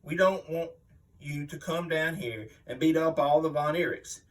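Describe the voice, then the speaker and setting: A man performs a veteran wrestling heel with a somewhat high voice, set back from the microphone. He is mean and full of bluster, bragging and griping in the style of a wrestling promo interview. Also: unhurried, far from the mic, natural voice. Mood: aggrieved, menacing. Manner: boastful, blustering, aggrieved. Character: boastful, mean veteran pro wrestler villain, male, older. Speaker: reader voicing an interviewed wrestler. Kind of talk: dramatic magazine interview reading, wrestling promo.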